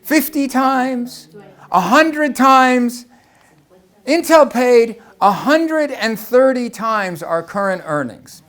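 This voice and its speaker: Brief: American man, high-pitched, conversational lecturer asking rhetorical questions. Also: lively intonation, takes it slowly, a bit bright. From Los Angeles, United States. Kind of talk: presentation talk to an audience.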